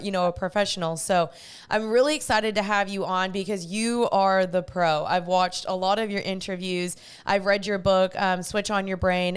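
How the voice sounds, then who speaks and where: Podcast host: young woman in her twenties, smooth clear voice, bubbly and chatty. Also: medium pitch. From United States. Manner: bubbly, chatty, uses lots of "like". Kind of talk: casual podcast chat and intro.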